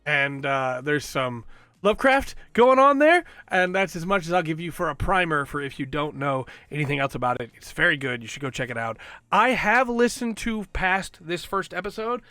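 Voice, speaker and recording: A man hosting a podcast, giving an enthusiastic, rambling review with a voice that is slightly going hoarse. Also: on the high side, animated, normal speed, bright, forceful tone, dry recording. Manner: enthusiastic, rambling with fillers and restarts. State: slightly losing his voice. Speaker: podcast host reviewing an audio drama. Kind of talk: casual solo review.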